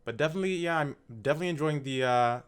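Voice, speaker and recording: A man's voice: fairly high pitch, rapid-fire, clean tone. A man giving casual, loosely improvised game commentary as host of a gameplay video. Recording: loud music behind the voice.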